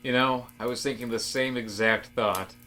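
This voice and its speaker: Man acting fed-up office worker, bright voice. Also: mid-range voice, steady tone, normal speed, a little hiss or hum, lightly acted. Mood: glum, musing. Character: disaffected male office worker, increasingly fed up and rebellious. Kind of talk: comic audio drama dialogue.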